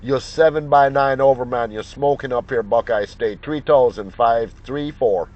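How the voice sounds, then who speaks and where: A man's voice: mid-range voice, normal speed. A man working a CB radio as operator, repeating call signs in slangy, folksy, joking banter. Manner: repetitive, jocular, folksy banter. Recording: muffled lo-fi sound with loud radio noise, clicks. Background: CB radio noise and clicks.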